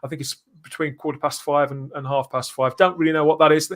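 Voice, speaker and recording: A man with a slightly high voice presents a live podcast. He talks in a chatty way, reads out news and listener comments, and often turns his statements into little questions. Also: level tone, quick pace, a bit bright. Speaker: podcast host.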